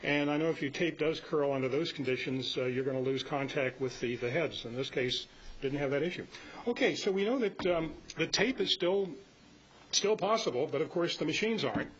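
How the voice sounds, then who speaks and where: An older man giving an informal, rambling technical talk at a club meeting, halting and full of anecdotes. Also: fairly high pitch. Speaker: club speaker presenting on audio tape. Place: indoor club meeting, live audio recording. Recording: muffled, slightly noisy meeting-room recording.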